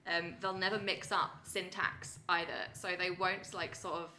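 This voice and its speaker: Female podcast guest, informal explainer. Bright, lowish voice, faint background noise. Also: little pitch movement, unhurried. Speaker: returning podcast guest, language expert. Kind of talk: casual explanatory podcast chat.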